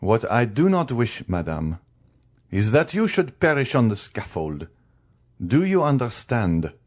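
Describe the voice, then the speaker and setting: A man's voice: mid-range voice, big pitch swings, unhurried, voice pushed up. A man playing a stern, merciless magistrate condemning his wife in a cold, commanding, oratorical way in a dramatic story reading.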